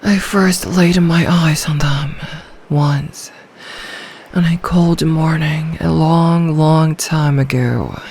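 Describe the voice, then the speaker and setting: A woman's voice: low voice, steady tone, a little mellow, a little hiss or hum. A woman voicing an ancient, lonely, possessive sea dragon in human form, in a brooding, formal ASMR fantasy roleplay. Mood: wistful. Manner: slow, storybook-formal, brooding, lapses into breathy whispers.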